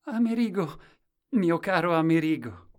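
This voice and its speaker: A man's voice: mid-range voice, sing-song, unhurried, darkish tone, pitched above the performer's own voice. A man voicing a meek, candid and eager court fool in a storybook tale, simple and earnest in manner. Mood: excited, exultant.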